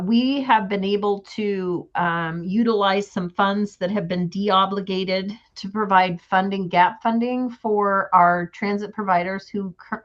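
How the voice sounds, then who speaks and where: A woman giving a transit funding update at a board meeting, losing her voice, halting with frequent ums. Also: mid-range voice, muffled recording.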